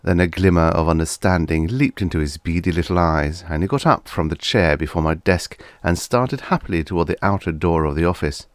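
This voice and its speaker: A man's voice: deep voice, fairly quick, few pauses, no room sound. A man reading vintage fiction aloud as an audiobook narrator, in a scripted storytelling style.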